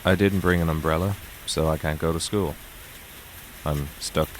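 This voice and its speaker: Man playing a low-voiced, weary male teacher. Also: fairly even intonation, normal speed, slight background noise, low-quality recording. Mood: mildly annoyed. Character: weary, aloof adult male math teacher. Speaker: narrator and lead character. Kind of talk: visual novel fandub, first-person narration and dialogue. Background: music and rain sounds.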